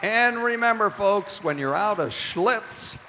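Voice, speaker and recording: A man's voice: high voice, animated, slow. American male radio announcer in an echoey hall. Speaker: announcer and director of a radio theater. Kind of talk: old-time radio announcing, reading credits and sponsor spots. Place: performing arts center stage. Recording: echoey hall, long reverb, music bleeding in. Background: music.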